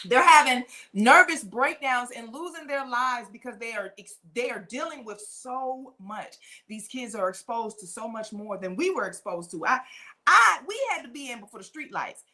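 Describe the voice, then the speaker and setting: A woman's voice: a little high, bright, forceful tone. A woman hosting a talk show, speaking conversationally and emphatically, repeating phrases to drive her point home.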